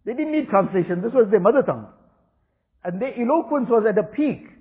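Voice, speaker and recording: Urdu-speaking guy preaching earnestly, fairly high voice, sounds tinny like a phone line. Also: expressive, a little fast. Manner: earnest, repeats phrases for emphasis. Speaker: religious teacher. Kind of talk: Islamic talk.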